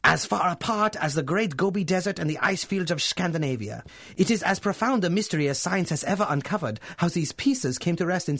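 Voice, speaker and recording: An actor playing a suave, calculating young German professor with a German accent and a formal, unctuous, deliberate way of speaking, in a 1930s-style radio drama. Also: male voice, fairly high pitch.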